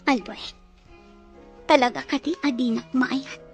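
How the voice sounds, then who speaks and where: A woman's voice: sits high, unhurried, faint music behind. An actress playing a demanding, jealous wealthy woman who gives orders and pleads. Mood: pleading. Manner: bossy, emotional, dramatic.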